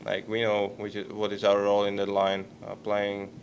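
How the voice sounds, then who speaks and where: A young man in his twenties with a Czech accent, answering postgame questions at a press table microphone, halting and searching for words. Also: fairly low pitch, completely flat delivery, very noisy. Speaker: hockey player at a postgame press conference. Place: press conference room.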